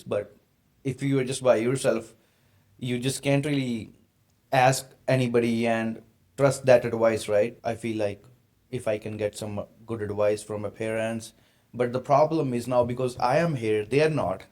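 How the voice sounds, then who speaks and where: A man's voice: mid-range voice, darkish tone. A man from India in his late twenties hosting a podcast, asking questions earnestly with searching, non-native phrasing. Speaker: podcast host. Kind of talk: casual interview conversation.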